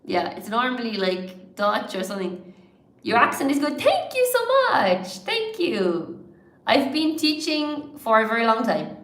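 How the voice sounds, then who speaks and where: Unhurried Irish woman teacher, echoey room. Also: fairly high pitch, big pitch swings, fairly bright tone. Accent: mild Irish, largely neutral. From Ireland. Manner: chatty and encouraging, laughs often, reads viewer comments aloud. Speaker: English teacher hosting a livestream. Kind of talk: live-stream word game with viewers.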